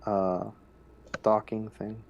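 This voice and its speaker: A man's voice: lowish voice, little pitch movement, slow, vocal fry, dull audio. A man playing a tabletop game, hesitantly describing a character's actions in casual speech. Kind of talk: casual third-person roleplay narration.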